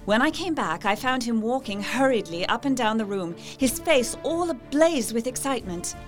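A woman's voice: a little high, animated, moderate pace, faint music behind, natural voice. An actress playing a polite, devoted young Victorian wife in a gothic audio drama, formal and heartfelt, as if telling her diary. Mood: composed, recounting. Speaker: actress narrating and playing dialogue.

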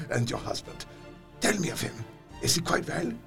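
Casting: effusive older foreign doctor for gothic audio drama; gravelly creak, raised pitch, florid and exuberant. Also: male voice, sing-song, a little slow, a bit bright, faint music behind, heavily acted. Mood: concerned, probing.

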